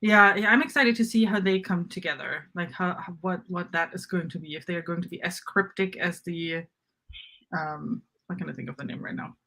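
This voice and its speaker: A woman's voice: on the low side, moderate pace, pushed and bright. A woman in a casual book chat, co-hosting and thinking aloud in an informal way, often trailing off mid-thought. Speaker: co-host of a book discussion. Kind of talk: casual fan chat about fantasy novels.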